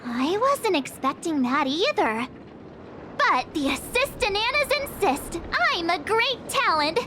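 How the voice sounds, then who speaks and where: Very high girlish adventurer. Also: very animated, a little slow, background noise, full character voice. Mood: earnest, flustered. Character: girlish, earnest young female adventurer.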